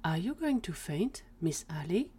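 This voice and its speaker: A woman's voice: lowish voice, very animated, measured pace, warm tone, slightly rough, heavily acted. A woman voicing a tiny, timid, curious little man in a whispery voice within a narrated audio drama. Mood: worried. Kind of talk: storybook character voice.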